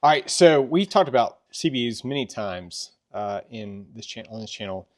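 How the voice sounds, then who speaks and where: A man's voice: medium pitch, animated, moderate pace. A middle-aged man hosting a casual product review, informal and conversational, sometimes repeating himself. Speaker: video host.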